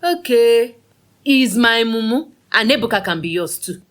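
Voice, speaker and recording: A woman's voice: fairly high pitch, lively intonation, unhurried, a bit bright. An actress with a Nigerian accent playing a bold, teasing, meddlesome young woman in an audio drama, brash and scolding in an emphatic way. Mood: persuasive.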